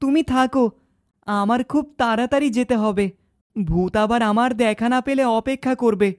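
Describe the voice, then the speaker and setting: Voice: mid-range voice, moderate pace, dry recording, clean studio sound, natural voice. An actor voicing a bossy, precocious little village boy in a cartoon, self-assured and threatening. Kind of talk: cartoon dialogue acting.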